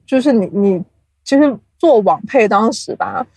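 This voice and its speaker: A woman's voice: a little high, lively intonation, normal speed, a little mellow, husky, dry recording. Woman chatting through an explanation, dry close sound. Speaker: podcast guest, audio drama producer. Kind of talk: casual interview explanation.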